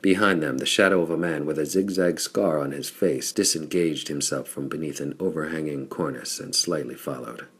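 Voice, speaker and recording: Very deep husky man reading pulp fiction. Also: normal speed, few pauses, a bit bright. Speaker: audiobook reader. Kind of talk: scripted narration of pulp science fiction.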